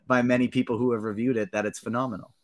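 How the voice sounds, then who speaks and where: A man's voice: mid-range voice, level tone, racing. Male podcast host, informal panel-show delivery, mid-range voice. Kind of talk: casual panel show hosting.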